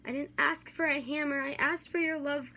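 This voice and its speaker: A woman's voice: sits high, steady tone, moderate pace, sharp tone. A young woman performing a slam poem in an earnest, rhythmic, dramatic way, as an amateur poet. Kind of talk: slam poetry recitation. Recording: amateur recording with light background noise.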